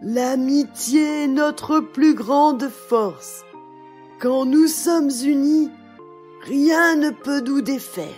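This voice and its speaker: A woman acts out a brave, clever hero wolf from a children's storybook. The voice is high and slow, kind and earnest, declaiming to friends over background music. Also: darkish tone. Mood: solemn, rousing.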